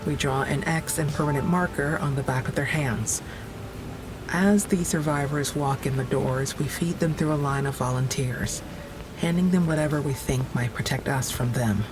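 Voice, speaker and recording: An actor plays a weary, observant field operative recounting a rescue in an audio drama, in measured, halting phrases. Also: lightly acted, poor audio. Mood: sober, observant. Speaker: narrator and field worker character. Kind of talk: audio drama narration, log-like account. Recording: music bed and engine rumble behind. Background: music, vehicle sounds.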